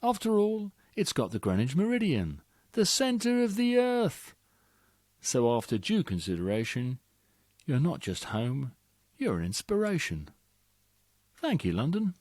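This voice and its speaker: A man's voice: fairly high pitch, wildly swooping pitch, a little slow, darkish tone, no room sound, studio quality. A man reciting a playful, rhythmic poem aloud, with swooping emphasis on the punchlines. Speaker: reader of a competition entry. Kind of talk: poetry recital.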